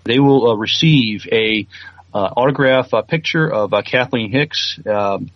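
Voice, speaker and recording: A man's voice: medium pitch, unhurried. A man co-hosting a podcast in casual chat and reading news, hesitant and full of fillers. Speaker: podcast co-host. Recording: dry, dull lo-fi mic.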